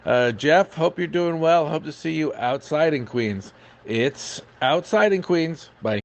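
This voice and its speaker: A man's voice: on the high side, animated, unhurried, dull audio. A man giving an informal podcast report on local events, chatty and enthusiastic, with frequent hesitations.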